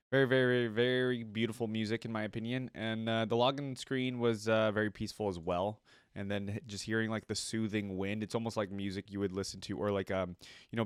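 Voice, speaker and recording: A man's voice: on the low side, smooth, dry recording, studio quality. A man hosting a reaction video, giving casual, informal commentary in a conversational way. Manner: informal, conversational, checks in with "you know what I mean". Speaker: reaction video host and streamer.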